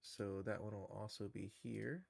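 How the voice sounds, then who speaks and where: Gravelly man teaching casually. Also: lowish voice, steady tone, normal speed, a little mellow, dry recording. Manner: informal, thinking aloud as he works. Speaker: streamer teaching game-engine animation. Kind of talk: casual live screen-share tutorial.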